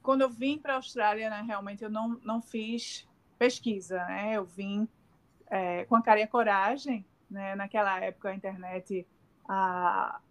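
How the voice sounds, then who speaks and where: A middle-aged woman with a Northeastern Brazilian accent, speaking at an ordinary middle pitch. She talks in a halting, searching way, full of fillers, restarts and tag questions, as an interview guest. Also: fairly even intonation. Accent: Northeastern Brazilian Portuguese. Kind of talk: casual remote interview.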